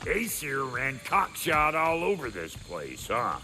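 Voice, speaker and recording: An actor playing a crude, gruff, wisecracking dwarf smith in a video game, with a gravelly, folksy, slangy drawl. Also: male voice, mid-range voice, huge pitch swings, takes it slowly, sharp tone, a little hiss or hum, heavily acted. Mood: gleeful. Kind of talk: video game character acting.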